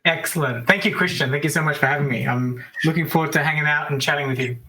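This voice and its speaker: Bright-voiced Australian man telling stories. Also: a little high, poor audio. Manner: chatty and upbeat, quick asides. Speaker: guest, business coach. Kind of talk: casual interview storytelling. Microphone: remote call.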